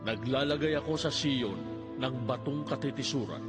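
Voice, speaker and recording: A man voicing God and the prophets in dramatized scripture, solemn, deliberate and declamatory. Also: mid-range voice, natural voice. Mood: grave, proclaiming. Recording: music under the voice. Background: background music.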